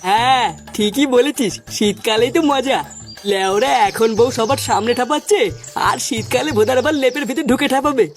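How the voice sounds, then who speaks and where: A woman's voice: on the high side, normal speed, soft background music, natural voice. A woman voicing a crude, mocking village idler in a comic cartoon, coarse and teasing. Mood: crude, jokey.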